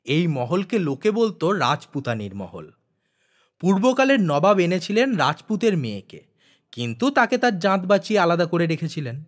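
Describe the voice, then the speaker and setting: A man's voice: high-pitched, sing-song, moderate pace. A man reading a literary story aloud as a dramatic audiobook narrator.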